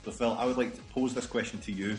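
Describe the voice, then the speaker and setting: A man's voice: mid-range voice, steady tone, fast. A young man co-hosting a casual gaming podcast, joking and swearing freely. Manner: jokey, swearing, laughs often. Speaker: podcast co-host. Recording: echoey room with faint music.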